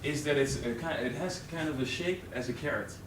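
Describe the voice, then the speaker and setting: Young Dutch man presenting live, halting and filler-heavy; recorded from far back in a roomy, noisy training room. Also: medium pitch. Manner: hesitant, many fillers and restarts. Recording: roomy, distant camera audio with noise.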